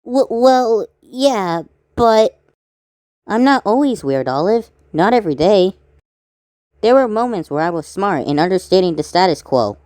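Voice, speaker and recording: A woman's voice: medium pitch, very animated, measured pace, darkish tone, no echo, clean studio sound. A woman voicing an earnest, flustered oddball male cartoon character with a defensive manner.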